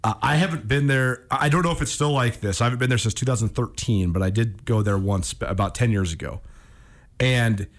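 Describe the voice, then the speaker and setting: A man's voice: medium pitch, brisk. An American man from Montana hosting sports radio, telling stories in an emphatic, conversational way in a studio. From Montana, United States. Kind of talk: casual radio chat, storytelling.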